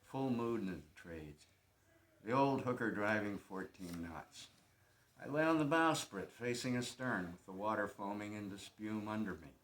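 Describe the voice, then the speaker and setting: A man's voice: on the low side, animated, normal speed. An actor playing a brooding, poetic, melancholy young man in a theatrical dramatic monologue, delivered with lyrical intensity. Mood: wistful, rising excitement. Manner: lyrical, intense, swelling then hushed. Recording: echoey room with faint background noise.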